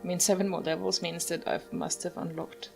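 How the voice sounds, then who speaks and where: A woman's voice: fairly low pitch, steady tone, brisk, gravelly, a little mellow. A woman hosting a gaming video, giving casual, musing commentary as she plays. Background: game music.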